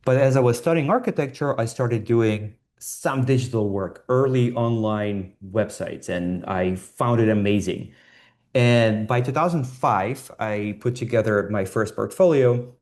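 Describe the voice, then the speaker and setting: A man's voice: fairly low pitch, a little slow, a little mellow. A man, a designer telling his own story informally and candidly in an online talk. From Estonia. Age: 40s. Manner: candid, self-deprecating, swears casually.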